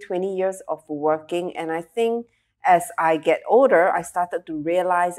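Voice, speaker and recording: A woman's voice: lowish voice, darkish tone. A woman in her forties from Singapore, a guest telling her story in a studio interview with a lapel mic, reflective and earnest, with fillers and restarts. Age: 40s. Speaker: interview guest, emotional resilience speaker. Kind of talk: candid interview conversation. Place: studio set with sofas.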